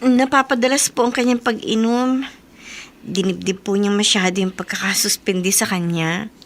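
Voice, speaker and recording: An actress playing a worried, earnest younger sister in a Filipino radio drama, pleading and emotive. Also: female voice, medium pitch, steady tone, moderate pace, natural voice. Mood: anxious, polite.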